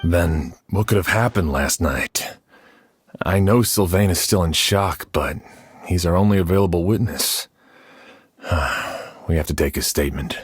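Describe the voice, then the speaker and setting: A calm, serious investigator from a video game. His voice sits deep, and he questions in a measured, businesslike way. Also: husky, no echo. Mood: pensive, concerned.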